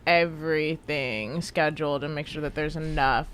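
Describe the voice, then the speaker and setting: A woman co-hosting a podcast in casual chat, telling stories in an animated way. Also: sits low, moderate pace, a little hiss or hum.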